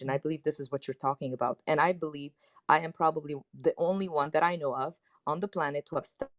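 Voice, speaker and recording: A woman from Lebanon, English not her first language, speaking as a panelist in an animated, emphatic, personal way. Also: sits low, no echo, studio quality. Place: online audio chat room.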